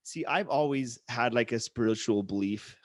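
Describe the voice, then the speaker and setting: A man's voice: medium pitch, moderate pace, no room sound. Earnest man host, dry close sound. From Utah, born in India. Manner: earnest, enthusiastic storyteller with frequent "like" and restarts. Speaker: podcast host. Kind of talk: casual conversational interview.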